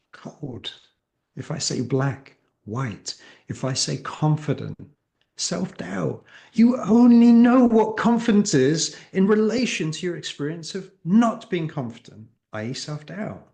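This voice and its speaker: A man with a creaky voice speaking as an interview guest and coach, explaining emphatically with rhetorical questions and acted-out examples. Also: fairly high pitch, a little mellow. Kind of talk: animated interview conversation, explaining.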